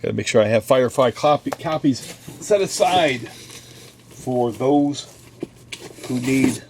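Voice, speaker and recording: A relaxed man runs through a stack of new comics in his shop, talking slowly and dropping offhand jokes as he goes. His voice sits at an ordinary middle pitch, and the delivery is unhurried chat, not a performance. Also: background noise. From United States. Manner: offhand, joking asides while flipping through comics. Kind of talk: casual product run-through. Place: comic book store.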